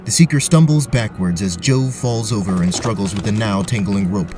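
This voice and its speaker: A male narrator tells a fantasy adventure in scripted prose. His voice is low, with music clearly playing behind him. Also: moderate pace, a little mellow. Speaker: narrator, game master.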